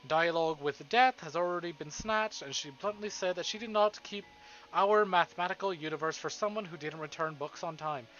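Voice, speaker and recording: A man reading a visual novel aloud on a live stream, with casual, excitable, foul-mouthed reactions. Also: a little high, brisk, muffled recording, a little hiss or hum.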